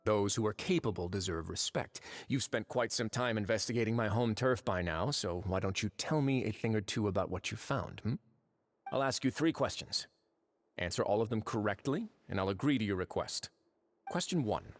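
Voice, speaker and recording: An actor playing a composed, sardonic lord of a fortress in a video game, speaking in a measured, wry, politely threatening way. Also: male voice, lowish voice, close to an everyday voice. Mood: firm, challenging. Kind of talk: English video game voice acting.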